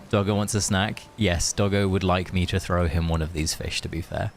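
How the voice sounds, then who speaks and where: A man's voice: sits low, fairly even intonation, moderate pace, slight background noise. A man streaming a game, chatting casually and rambling in friendly asides to his viewers. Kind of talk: casual live gaming stream chat. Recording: music behind the voice.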